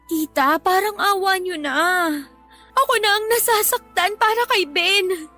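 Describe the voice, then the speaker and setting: An earnest young student in a radio drama. Her voice is very high, and she pleads with respectful but firm conviction. Soft music runs underneath. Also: a little slow, heavily acted. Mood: tearful pleading. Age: young adult. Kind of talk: Tagalog radio drama acting.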